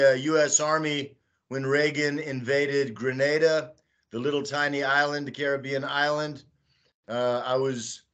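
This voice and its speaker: An older man speaks slowly over a webcam from a small room at home. He rambles, leaning on "uh" and "you know" between thoughts, at an even middle pitch. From Texas, United States. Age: 60s. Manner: slow, rambling, with many "uh" fillers. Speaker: guest. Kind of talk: video call interview. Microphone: webcam on a video call.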